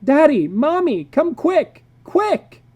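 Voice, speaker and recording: Grown-up putting on shrill, whiny spoiled children. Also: sing-song, takes it slowly, dark tone, exaggerated voice. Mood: urgent calling. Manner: wailing, whiny pleading.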